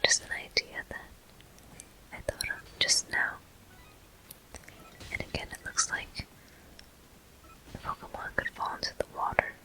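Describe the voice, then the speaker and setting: A soft ASMR whisper guides the listener through a video game. The voice is breathy, very bright and sibilant, with almost no voiced tone. Phrases come short and deliberate, unhurried, as a host would narrate gameplay. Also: rough recording.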